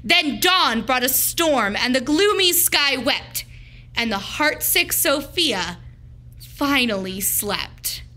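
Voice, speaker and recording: A woman teacher reading a picture book aloud to children in an expressive, deliberate, emphatic storytelling manner. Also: high-pitched.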